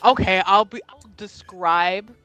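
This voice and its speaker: Giggly, slow-paced woman playing a tabletop game. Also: mid-range voice, sharp tone, clear, resonant tone, soft background music. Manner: giggly, informal. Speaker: player in a tabletop roleplaying game. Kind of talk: casual game chat with friends.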